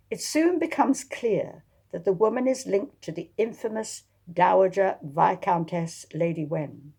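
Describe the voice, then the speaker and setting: A woman reads her own historical mystery as its author. Her voice sits on the low side, with a gravelly creak. The delivery is expressive, scripted storytelling. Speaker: author reading own novel.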